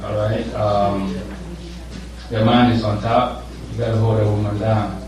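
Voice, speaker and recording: A man from Ghana tells a personal story in an easy, chatty manner. He is recorded from a distance in a very echoey room with clear background noise. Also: on the low side, measured pace. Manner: relaxed, chuckling, conversational. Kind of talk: personal testimony.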